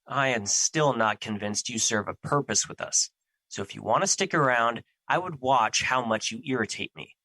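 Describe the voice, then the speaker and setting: A man's voice: mid-range voice, moderate pace, pushed and bright, no echo, poor audio. A man playing a stern, earnest embodiment of an emotion in an audio drama, speaking formally and easily irritated. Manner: formal, serious, stiff phrasing.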